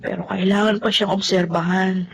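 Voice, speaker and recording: A woman's voice: medium pitch, steady tone, normal speed, creaky, slight background noise, lightly acted. A woman acting an anxious, devoted young mother in a Filipino melodrama, speaking with emotional, pleading delivery. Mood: tender, worried.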